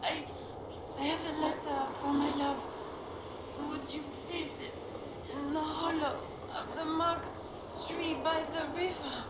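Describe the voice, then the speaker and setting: High-voiced, frightened, doomed woman. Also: steady tone, reverberant, very noisy. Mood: frightened, resigned. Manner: slow, halting, pleading. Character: frightened, doomed woman infected by red crystals. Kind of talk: video game voice acting.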